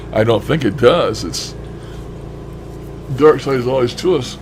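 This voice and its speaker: A man's voice: mid-range voice, creaky, noisy background. Folksy, blunt man rambling casually. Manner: folksy, blunt, mildly profane. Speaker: shortwave radio hobbyist vlogger.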